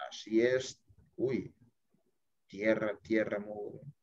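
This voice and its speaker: A man's voice: fairly low pitch, steady tone, slow, a little mellow. A man teaching an English class over a video call, walking students through an app and checking in with them often, mixing in English phrases. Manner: chatty, checks in with "listo?" and "ok?", mixes English phrases. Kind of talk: screen-share app walkthrough for students. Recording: dull lo-fi video call audio.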